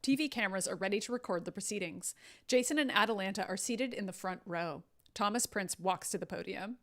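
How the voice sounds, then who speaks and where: A woman's voice: medium pitch, brisk, fairly bright tone. A woman hosting a table read, reading stage directions and bantering casually.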